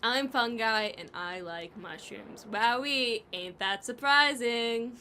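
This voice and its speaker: A woman plays a teasing, playful street artist in an audio drama. The voice is high and crisp-bright, full of sarcasm, with background noise around it. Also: slow pace. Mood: sarcastic, amused.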